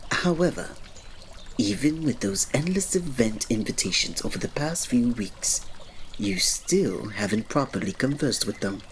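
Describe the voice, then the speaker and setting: A man plays a devoted male servant to royalty in an ASMR drama. He speaks slowly and politely, with a mid-pitched voice that moves expressively while staying formal and restrained. Noticeable background noise like trickling water sits behind him. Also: lightly acted. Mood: hesitant, discreet. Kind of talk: ASMR roleplay, scripted drama.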